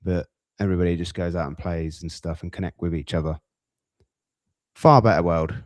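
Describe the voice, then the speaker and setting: A man's voice: sits low, fairly even intonation, a little fast, darkish tone, no room sound, clean studio sound. A man hosting a podcast in a casual, rambling way, often breaking off into asides. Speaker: podcast host. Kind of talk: casual reaction chat.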